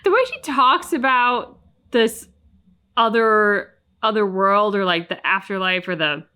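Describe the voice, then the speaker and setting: A woman's voice: mid-range voice, measured pace, bright, forceful tone, clean tone. A woman co-hosting a reaction channel, casually discussing a video game and acting out bits of what characters say.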